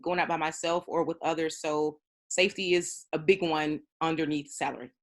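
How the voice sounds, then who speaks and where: A woman from Atlanta speaks on a video call in a somewhat low voice. She talks plainly and in a matter-of-fact way. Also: steady tone, moderate pace. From Atlanta, Georgia, US. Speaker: teacher. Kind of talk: casual video-call chat.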